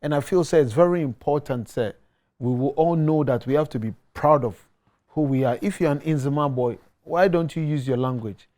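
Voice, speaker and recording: A man's voice: fairly high pitch, normal speed, darkish tone. A man in his thirties with a Ghanaian accent, talking earnestly as a guest musician in a TV studio, repeating words and slipping into pidgin. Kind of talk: casual interview talk. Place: tv studio set.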